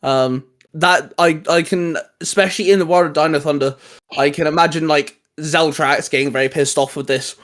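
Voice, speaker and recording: Higher-pitched man chatting casually. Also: steady tone, moderate pace, fairly bright tone. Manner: hesitant, rambling, repeats himself. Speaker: host. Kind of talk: casual fan discussion chat.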